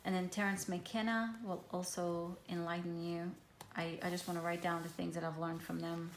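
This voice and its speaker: A woman whose first language is Hebrew, casually showing a handmade journal with a relaxed, rambling manner full of ums and restarts. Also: fairly low pitch, moderate pace. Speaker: craft vlogger. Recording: echoey room with faint hiss.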